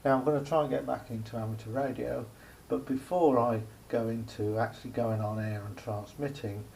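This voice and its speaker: A man giving a casual, unscripted hands-on demonstration as a hobbyist, speaking haltingly with pauses in mid-phrase. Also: medium pitch, fairly even intonation, creaky, darkish tone, rough recording. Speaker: hobbyist showing a homemade radio aerial project. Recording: faint background noise, amateur camera recording.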